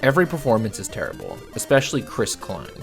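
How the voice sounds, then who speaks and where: A man giving scripted film review commentary in a blunt, exasperated, sardonic way, with a gravelly creak. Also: lowish voice, wildly swooping pitch, fast, over music. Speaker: video reviewer.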